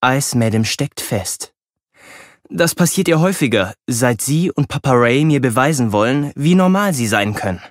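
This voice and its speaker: A man's voice: medium pitch, expressive, normal speed, no room sound, clean studio sound. A man reading a children's audiobook expressively, telling it wryly from a boy's point of view. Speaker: audiobook narrator. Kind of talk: expressive children's audiobook narration as a boy narrator.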